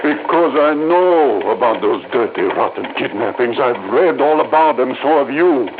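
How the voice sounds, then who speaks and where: A man's voice: medium pitch, expressive, normal speed, natural voice. An actor playing a distraught, hostile father of a kidnapped child in a 1950s-style radio drama. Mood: agitated, bitter. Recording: muffled, very noisy old radio recording.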